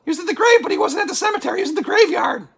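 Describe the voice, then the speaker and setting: An extremely high, creaky spooky puppet storyteller. The character reads scary bedtime tales theatrically, excitable and exclamatory, stretching words for drama and easily sidetracked. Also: female voice, heavily acted. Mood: chatty, sidetracked. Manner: exclamatory, drawn-out dramatic delivery.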